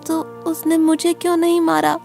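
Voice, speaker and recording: A woman voicing a frightened young boy in an animated horror story, pleading and distressed. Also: very high voice, normal speed, vocal fry, faint music behind. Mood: tearful, shocked.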